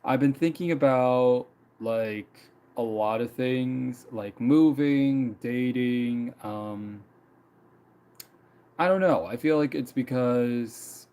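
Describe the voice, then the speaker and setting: A young man in his twenties talks through a casual personal story for his stream. His voice is mellow and relaxed at an ordinary middle pitch. He loops back with plenty of fillers and repeated lines. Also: takes it slowly, clear, resonant tone. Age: 20s. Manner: rambling, many fillers and repeats. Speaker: live streamer.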